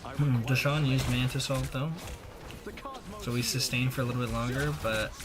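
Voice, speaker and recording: A man giving casual, slangy voice-over commentary on gameplay, conversational with animated reactions. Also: medium pitch, moderate pace, noisy background. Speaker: gaming video creator.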